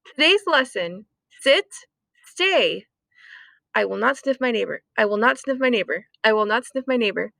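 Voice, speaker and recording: A woman reading a children's story aloud expressively, with deliberate dramatic emphasis. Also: on the high side, lively intonation, creaky, pushed and bright, no room sound. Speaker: storytime reader.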